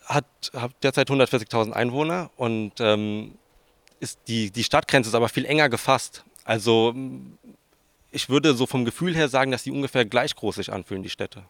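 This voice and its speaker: A man's voice: mid-range voice, normal speed, a bit bright, dry recording. A man in his twenties with a southern Hessian German accent, answering interview questions informally and a little hesitantly. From southern Hesse, Germany. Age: 20s. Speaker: interviewee, student researcher.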